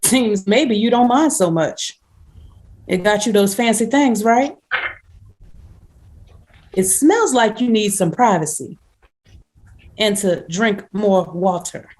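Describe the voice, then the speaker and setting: A woman's voice: medium pitch, expressive, slow pace, pauses often, darkish tone. A woman playing a wry, world-weary woman home from prison, in a screenplay table read. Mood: pointed, wry.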